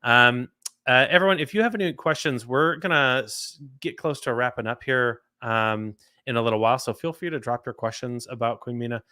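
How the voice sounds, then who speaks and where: A man hosting a livestream interview with an energetic, talkative delivery, repeating words and adding plenty of fillers. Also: mid-range voice, brisk, no room sound, studio quality. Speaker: livestream host.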